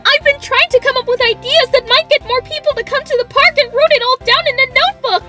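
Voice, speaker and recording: Squeaky, bubbly anime girl. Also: fast, pushed and bright, soft background music. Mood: excited, gleeful. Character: bubbly, excitable young girl performer in an anime troupe. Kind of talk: anime fan dub acting.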